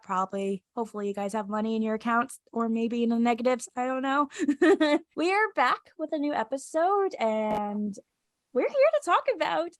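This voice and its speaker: A woman's voice: a little high, no echo, clean studio sound. Chatty young woman with a stuffy cold. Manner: giggly, chatty. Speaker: podcast co-host. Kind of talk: casual fan podcast chat.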